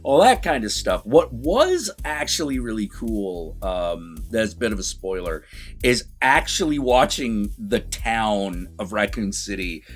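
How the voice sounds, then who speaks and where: A man in casual, sweary film review banter with a co-host, animated and given to acting out imagined lines. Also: fairly low pitch, unhurried, faint music behind.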